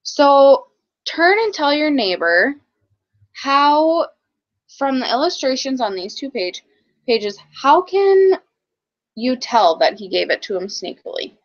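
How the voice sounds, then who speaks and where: A woman teaches young children through a read-aloud, explaining in a halting way. Her voice is a bit high and very unhurried. The recording sounds dull and lo-fi. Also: very animated.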